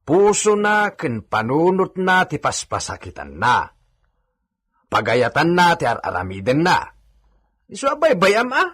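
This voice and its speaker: A man's voice: fairly high pitch, huge pitch swings, unhurried, a bit bright, husky, full character voice. A man playing a loyal, level-headed manservant in a radio drama, with an Ilocano accent. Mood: scolding.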